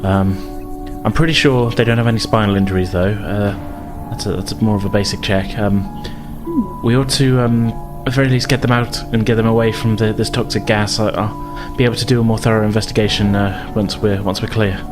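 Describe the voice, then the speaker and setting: A man's voice: on the low side, steady tone, over music, natural voice. Man acting an anxious, stammering young starship medic. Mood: worried, hesitant. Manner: halting, stammering, unsure. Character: anxious, hesitant young ensign medic under pressure.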